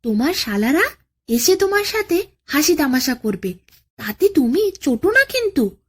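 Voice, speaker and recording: Woman as a high-voiced sly jackal trickster. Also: big pitch swings, measured pace. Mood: sly coaxing. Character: sly, quick-witted male jackal nephew, scheming trickster. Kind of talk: children's folk tale storytelling.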